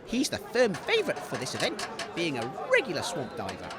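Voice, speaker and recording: A man playing a chatty, excitable radio co-host giving live commentary in a comedy audio drama. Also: on the high side, a little fast. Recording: outdoor event with crowd behind.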